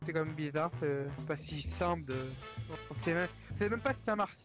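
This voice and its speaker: A man plays a wary sinner checking into a demon hotel who keeps asking whether it is a trick. He speaks in a slightly high, doubting voice. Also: moderate pace, faint music behind, close to an everyday voice. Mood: doubtful. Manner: doubtful, questioning. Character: suspicious male sinner guest questioning the hotel.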